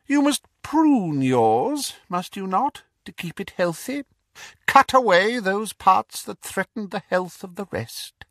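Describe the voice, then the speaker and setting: A man voicing a cold, menacing male dark lord with a quiet, carrying voice, speaking slowly and mockingly. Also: sits high, big pitch swings, dry recording, clean studio sound, pitched above the performer's own voice, heavily acted. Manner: slow, silky and mocking. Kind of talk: audiobook character voice.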